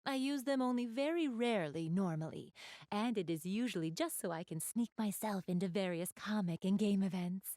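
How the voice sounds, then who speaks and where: A woman's voice: mid-range voice, moderate pace, dark tone, no room sound, clean studio sound, lightly acted. A woman playing an elegant, doting big-sister goddess in a video game, speaking in polite, formal, refined phrases straight to the listener. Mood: gentle, poised. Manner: polite, formal, refined diction, gushing over what she adores. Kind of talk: video game character acting, addressing the listener.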